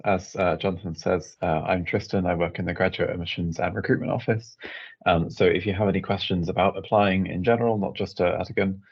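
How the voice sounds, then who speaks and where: A man from an admissions office speaks politely over a video call. His voice is very low and gravelly, with a husky edge. The audio is dull and lo-fi. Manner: polite, helpful. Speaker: admissions staff. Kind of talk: brief self-introduction.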